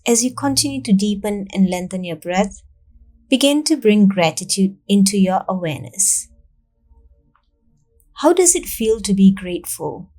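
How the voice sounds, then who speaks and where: Female meditation guide. Calm, soothing narration, slow delivery, warm dark tone, generous pauses. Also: mid-range voice. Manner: calm, soothing, measured instruction with long pauses.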